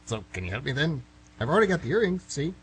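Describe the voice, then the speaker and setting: A man's voice: a little high, big pitch swings, quick pace, fairly bright tone, slight background noise, rough recording. A man playing an eager, naive young student who rambles earnestly about his dreams in an amateur comedic radio play. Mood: eager, excited.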